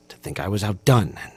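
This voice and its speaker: A stiff, bookish young male cadet, with an American accent. His voice is lively in pitch and a little husky. He speaks formally, with proud, self-conscious hesitations, like a video game character, recorded very dry. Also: no echo, heavily acted. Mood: grudging.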